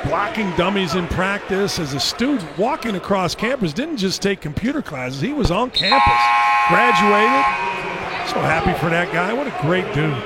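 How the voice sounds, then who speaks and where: A man's voice: sits high, very animated, moderate pace, very noisy. A man, a former coach, giving enthusiastic, opinionated color commentary on a basketball game. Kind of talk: live sports commentary.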